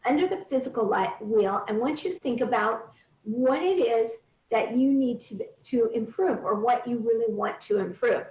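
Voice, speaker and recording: A woman's voice: on the high side, moderate pace, a little mellow. A middle-aged woman coaching a group on a call, guiding them through points step by step in an instructive way. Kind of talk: guided coaching talk to a group. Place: indoors on a recorded group video call. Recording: roomy, distant, dull online call audio.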